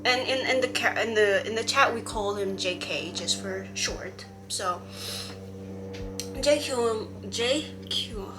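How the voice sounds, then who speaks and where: A woman's voice: a little high, slow, music in the background, poor audio. A woman vlogger from Hawaii chatting casually about a comic she is reading, exasperated and rambling, with many fillers and restarts.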